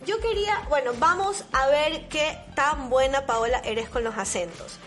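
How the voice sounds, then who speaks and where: A woman's voice: sits high, measured pace, a bit bright. A woman hosting a casual live chat show, enthusiastic and encouraging. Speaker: host. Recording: music playing behind.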